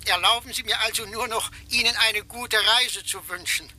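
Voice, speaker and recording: An actor playing a fussy, overly polite solicitor who speaks with halting, insinuating courtesy in a radio drama. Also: male voice, high-pitched, steady tone, bright, forceful tone. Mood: smooth, insinuating. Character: fussy middle-aged male solicitor, smooth, insinuating. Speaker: lawyer on the phone. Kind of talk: German radio drama acting.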